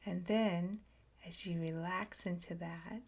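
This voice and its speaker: A woman leading a guided meditation, speaking softly in short phrases with long pauses and frequent whispered words. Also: lowish voice, fairly even intonation, slightly rough. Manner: slow, soft and soothing, drifting into whispers.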